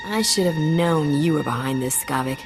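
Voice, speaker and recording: Cocky, low-voiced smuggler captain. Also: female voice, normal speed, over music. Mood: defiant. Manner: wry, blunt, self-assured. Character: cocky, sardonic female smuggler captain, cool and teasing. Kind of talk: video game voice acting.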